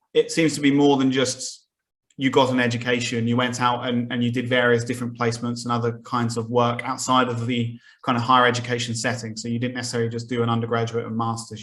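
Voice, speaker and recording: A man's voice: medium pitch, fairly bright tone. A man hosting a psychology podcast, summarizing his guests' answers and asking thoughtful questions with many fillers. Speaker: podcast host. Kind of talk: conversational interview questions.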